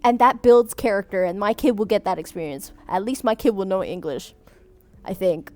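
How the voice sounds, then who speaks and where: A woman's voice: mid-range voice, normal speed, darkish tone. A young woman chatting casually while reading viewer submissions, Vietnamese as her first language, laughing often and trailing off mid-sentence. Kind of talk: casual chat.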